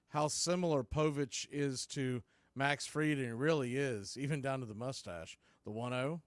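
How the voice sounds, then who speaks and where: A man's voice: medium pitch, clear, resonant tone, dry recording. A man giving live baseball play-by-play commentary in the style of a radio broadcast.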